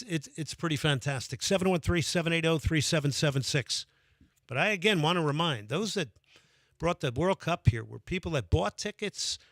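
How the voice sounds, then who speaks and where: A man's voice: fairly high pitch, creaky, fairly bright tone, dry recording, studio quality. A man hosting a radio sports call-in show, talking with enthusiasm and taking callers. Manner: enthusiastic, reads out phone number repeatedly.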